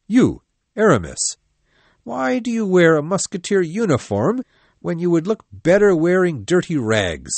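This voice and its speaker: A man playing a stern, proud, hot-tempered older captain of musketeers in an audiobook, with a forceful, commanding manner. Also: high voice, big pitch swings, unhurried, vocal fry, no echo, exaggerated voice. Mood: furious, scolding. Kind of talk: audiobook dialogue acting.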